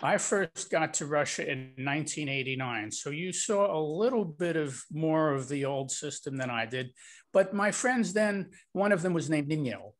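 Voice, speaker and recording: A man's voice: fairly high pitch, expressive, moderate pace. A man asking a question on a video call in a friendly, rambling way, with asides along the way. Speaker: club member asking a question.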